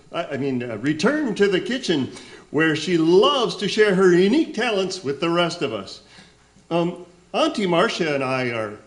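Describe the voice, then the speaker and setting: An eager young nephew figure, played by a man, speaks in a lively, rather high voice. He is chirpy but nervous and halting, in the manner of radio comedy. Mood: nervous, awkward. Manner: chirpy, halting, apologetic cover-ups. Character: nervous, eager, devoted nephew of a celebrity chef. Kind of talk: comedic radio play acting.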